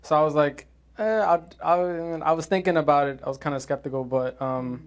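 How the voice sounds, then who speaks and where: A teenage boy, a student actor, answering interview questions hesitantly with many pauses and fillers. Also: on the high side. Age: teens.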